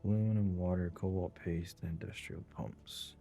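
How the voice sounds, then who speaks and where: A man doing casual live gameplay commentary, thinking aloud and muttering to himself in short, unhurried phrases. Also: low-pitched, fairly even intonation, dark tone, faint music behind. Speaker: game streamer.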